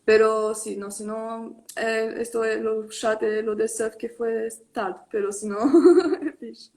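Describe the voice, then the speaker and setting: A young adult woman on a video call, talking casually in an interview and switching between Spanish and Portuguese with many pauses and fillers. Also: a little high, steady tone, a little mellow. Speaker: tattoo artist guest. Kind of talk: casual online live interview.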